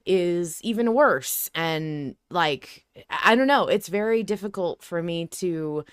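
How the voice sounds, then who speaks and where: Low, clear-voiced woman podcast host. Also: expressive, slow, dry recording, clean studio sound. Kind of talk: casual analytical discussion.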